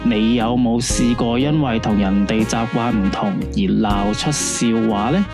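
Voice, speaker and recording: An actor as a late-night radio host man with a Hong Kong Cantonese accent, chatting at an unhurried pace. He is easygoing, playful and inviting, with music under his voice. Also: on the low side, natural voice. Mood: cheerful, chatty. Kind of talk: late-night radio phone-in hosting, radio drama.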